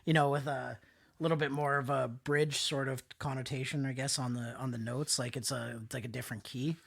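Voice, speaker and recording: A man's voice: medium pitch, fairly even intonation, brisk, vocal fry, breathy edge. A man with a nasal voice hosting a casual music chat in an informal, jokey, slangy way. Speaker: podcast host discussing music albums.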